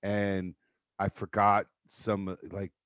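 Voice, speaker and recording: A man with a deep voice rehearsing a stand-up bit, loose, profane and self-mocking. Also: monotone, slow, fairly bright tone, no room sound, studio quality. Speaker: stand-up comedian.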